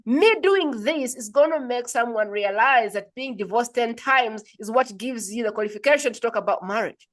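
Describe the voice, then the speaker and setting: A woman from Uganda hosting a podcast interview, telling a personal story in an animated, emphatic way. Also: fairly high pitch, moderate pace, hardly any pauses. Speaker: podcast host.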